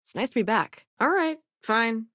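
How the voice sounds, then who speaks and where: A woman's voice: fairly high pitch, very animated, moderate pace, a bit bright, no echo, studio quality. A woman playing a sassy, self-assured young scientist and smuggler companion in a space video game, with a wry, casual manner. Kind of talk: acted video game dialogue lines.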